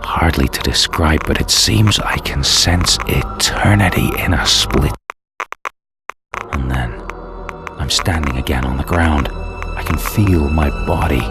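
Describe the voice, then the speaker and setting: Super deep brooding game antihero, damned and bitter, dramatic narration over loud music. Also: male voice, a little slow. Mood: grim awe. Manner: brooding, intense, theatrical. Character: damned, vengeful male antihero, bitter and doomed, recounting his fate. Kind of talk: dramatic first-person game cutscene narration.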